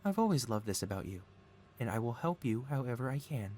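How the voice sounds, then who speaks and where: A man voices a mad scientist villain for an intimate ASMR roleplay. He is a mid-pitched male schemer who coaxes and flatters the listener with soothing devotion. Beneath the innocent act, he is possessive. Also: fairly quick, a little hiss or hum, lightly acted. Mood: adoring, coaxing. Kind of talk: ASMR audio roleplay, intimate one-sided dialogue.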